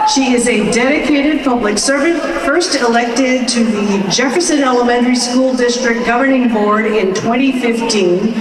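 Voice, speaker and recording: A woman as event emcee, giving a formal, gracious introduction of a speaker. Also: on the high side. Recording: echoey room, distant mic, background noise.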